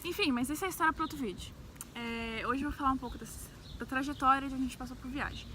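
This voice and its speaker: A young woman with a central-west Brazilian accent speaks in a fairly high, bright voice. She chats informally like a vlogger sharing her own story, dropping in small tags for agreement. There is faint noise behind her. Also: fast talker. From Brazil, grew up in Brasília. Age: young adult. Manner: informal, peppers speech with tags seeking agreement. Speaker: travel vlogger. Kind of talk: casual, chatty personal storytelling.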